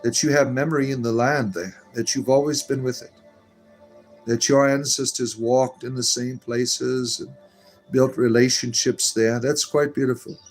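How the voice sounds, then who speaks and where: A man at an ordinary mid pitch hosts a casual live stream, reading viewer comments aloud and answering each one at a wandering, conversational pace. Soft music plays faintly beneath his voice. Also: fairly even intonation, unhurried, rough recording. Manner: reads comments aloud and answers them in a meandering, chatty way.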